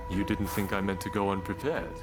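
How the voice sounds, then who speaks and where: An actor playing a shrewd, commanding shogun in a video game cutscene, speaking with deliberate authority over loud music. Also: male voice, deep voice, steady tone, natural voice. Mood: stern command.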